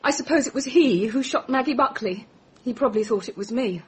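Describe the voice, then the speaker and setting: An actress plays a world-weary young society woman. Her voice is on the high side and shaken, in radio drama style, over slight background noise. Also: brisk, darkish tone, lightly acted. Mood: weary confessing.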